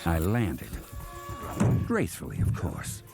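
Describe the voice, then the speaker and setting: A man playing a boastful, bumbling crocodile detective narrating his case in a gruff audio drama style. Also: low-pitched, huge pitch swings, slow, full character voice. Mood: wry, self-assured. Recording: music behind the voice.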